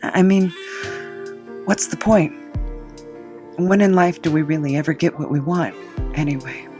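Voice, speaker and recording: A man's voice: a little high, unhurried, darkish tone, soft background music, natural voice. A man playing a weary, drifting young adventurer in an audio drama, hesitant and resigned. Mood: wistful, resigned.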